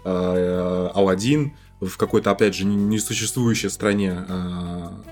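A man with a rather low voice talks about films on a podcast. He is hesitant, stumbling over and repeating the starts of words. Music runs beneath the casual talk. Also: measured pace, darkish tone. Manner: hesitant, stammering word repetitions. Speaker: podcast co-host. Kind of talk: casual film discussion. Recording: music bed behind the voice.

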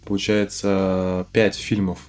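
A man co-hosting a podcast, discussing films casually and haltingly, often repeating the starts of words. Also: low voice, little pitch movement, darkish tone, clean tone. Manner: hesitant, stammering word repetitions. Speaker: podcast co-host. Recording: music bed behind the voice.

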